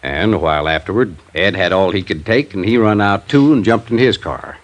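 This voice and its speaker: Old-time radio role: folksy older American small-town newsman, played by a male actor, low-pitched, wry, guarded, rustic phrasing. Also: a little fast, close to an everyday voice. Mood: guarded, recounting.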